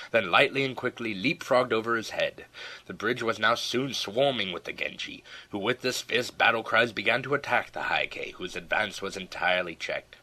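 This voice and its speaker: A man reading a historical tale as an audiobook narrator, in a theatrical, solemn storytelling manner with deliberate phrasing. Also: lowish voice, bright, forceful tone, rough recording.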